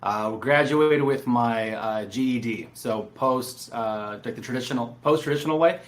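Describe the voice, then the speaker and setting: A man's voice: mid-range voice, moderate pace. A man from San Diego, telling his story informally and personably as a podcast guest. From San Diego, California. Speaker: podcast guest, real estate entrepreneur. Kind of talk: casual interview storytelling.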